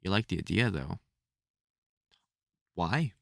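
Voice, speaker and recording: A man playing a soft-hearted, protective vampire hunter mentor in an intimate audio roleplay, speaking fondly and hesitantly with wry exasperation. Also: deep voice, animated, takes it slowly, vocal fry, no echo, clean studio sound, full character voice. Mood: explaining, reassuring. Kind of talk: intimate audio roleplay acting addressed to the listener.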